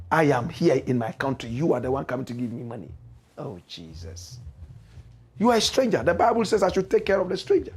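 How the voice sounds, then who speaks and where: Animated Ghanaian man reading comments. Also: slightly rough. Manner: animated and preachy, swinging between loud high exclamations and low muttered asides. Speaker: show host reading viewer comments. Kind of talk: casual live-stream commentary.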